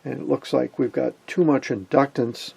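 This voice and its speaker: A man narrates an informal hands-on demonstration at an unhurried pace of thought. His voice is heavily creaky and husky, with a relaxed, dark tone. He comes across as a folksy hobbyist explaining his work step by step. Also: medium pitch, rough recording. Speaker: electronics hobbyist presenter. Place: indoor electronics workbench.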